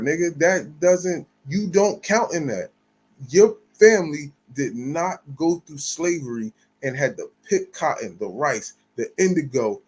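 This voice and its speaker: A man's voice: a little high, darkish tone, breathy edge, rough recording. A man from the United States giving blunt, slangy reaction commentary, profane and emphatic.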